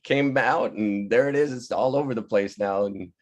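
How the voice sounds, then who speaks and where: A man with a mid-pitched voice talks casually over a video call, like someone leading a relaxed online gathering. He tells anecdotes in an animated way and tends to act out the people he quotes. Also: normal speed, dull audio. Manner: animated, laughing often, acting out quoted people. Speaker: playwright and director leading a virtual table read. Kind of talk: casual, anecdotal storytelling chat. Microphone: video call microphone.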